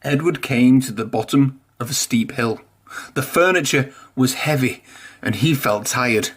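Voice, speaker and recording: A man narrating a children's story aloud in an expressive read-aloud style. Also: medium pitch, measured pace, slightly rough. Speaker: storybook reader.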